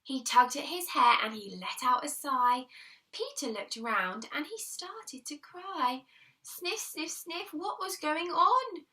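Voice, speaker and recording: A woman reading a children's picture book aloud in an expressive, deliberate storytelling manner, leaning on the rhymes. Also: sits high, recorded from a distance, rough recording. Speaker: primary school teacher reading aloud.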